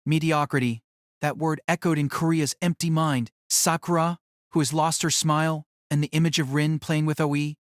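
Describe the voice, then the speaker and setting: A man's voice: on the high side, steady tone, moderate pace, dry recording, clean studio sound. A man giving solemn, theatrical scripted narration of a fantasy novel.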